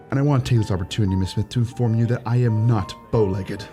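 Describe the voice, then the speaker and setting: A man's voice: on the low side, dark tone, natural voice. An actor playing a teasing, proud, persistent male shop clerk in a radio romantic comedy, wry and mock-polite. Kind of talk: old-time radio romantic comedy acting.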